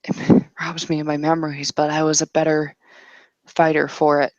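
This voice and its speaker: A nervous but defiant woman fighter in a tabletop roleplay, acted by a woman. She speaks low and haltingly, defensive and hesitant, in a dry, close sound. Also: flat delivery, a little mellow, no echo, muffled recording, close to an everyday voice. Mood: halting, guarded. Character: nervous, defiant woman fighter hiding her trembling fear.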